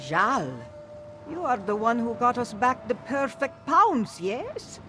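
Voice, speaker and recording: A woman's voice: fairly high pitch, big pitch swings, slow, noisy background. A woman voicing a loyal, reflective veteran cat-folk sailor in scripted video game dialogue. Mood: grateful, wistful. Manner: reflective, speaks of self in third person.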